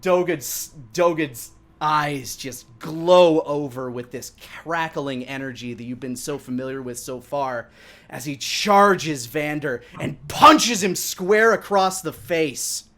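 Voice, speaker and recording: A man's voice: on the high side, takes it slowly, a bit bright. A man in his thirties running a tabletop game, narrating dramatically and theatrically as dungeon master. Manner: theatrical, with acted sound effects.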